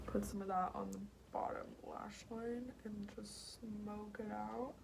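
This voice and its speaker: Raspy young woman chatting through makeup. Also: medium pitch, dark tone, slight background noise, poor audio. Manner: chatty, slips into whispers while working. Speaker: beauty vlogger. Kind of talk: casual makeup tutorial. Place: home, at a mirror.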